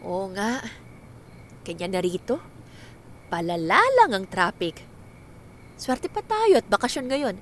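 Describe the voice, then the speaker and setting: Lively, caring middle-aged friend. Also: female voice, medium pitch, unhurried, pauses often, a little hiss or hum. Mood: chatty. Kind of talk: Filipino radio drama acting. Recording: background music under the voice.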